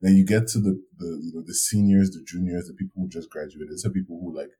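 A man's voice: deep voice, quick pace, gravelly, mellow. A man co-hosting a casual podcast chat, telling stories and laughing easily. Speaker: podcast co-host.

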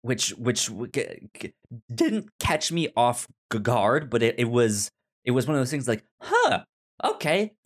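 A man co-hosting a casual film podcast, speaking haltingly and often stuttering over repeated words. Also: medium pitch, wildly swooping pitch. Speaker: film review podcast co-host. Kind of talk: casual chat.

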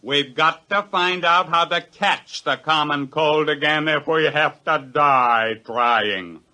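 An actor in an old radio drama playing a hard-pressed, take-charge scientist, speaking with dramatic force. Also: male voice, fairly high pitch, takes it slowly, sharp tone, heavily acted. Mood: commanding. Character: hard-pressed, take-charge male scientist narrating his own ordeal.

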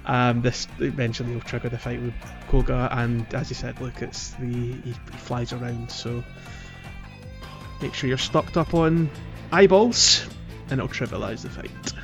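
A man's voice: medium pitch, a little slow, vocal fry, music in the background. A man co-hosting a casual podcast about a video game, talking in a loose, chatty way with many fillers and restarts. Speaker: podcast co-host.

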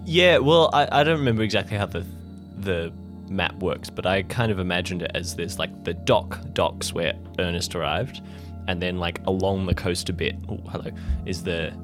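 Male cast member: very low register, conversational, animated asides over background music. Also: normal speed. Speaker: cast member of improvised drama. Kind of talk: casual out-of-character chat. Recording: music under the voice.

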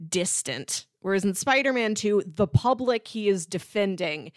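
A woman talks casually about films as a podcast co-host. Her voice sits at a middle pitch in a very dry sound. She reasons things through conversationally, dropping in qualifying asides as she goes. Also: animated, unhurried, dry recording. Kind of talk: casual film discussion.

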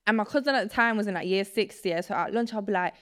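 A woman in her twenties, from Poland and a native Polish speaker, talks as a podcast guest in a mid-pitched voice. She rambles in a relaxed way and fills her sentences with filler words. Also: fast talker. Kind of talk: casual chat.

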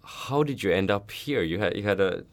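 A man's voice: low-pitched, animated, fairly quick. A man hosting a podcast, asking loose, rambling questions full of fillers. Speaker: podcast host. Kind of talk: casual interview chat.

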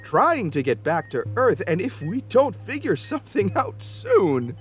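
Stern, intense researcher, swooping voice. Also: female voice, mid-range voice, huge pitch swings, unhurried, breathy edge, faint music behind, exaggerated voice. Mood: stern frustration. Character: exasperated, serious researcher in a zombie crisis. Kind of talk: audio drama acting.

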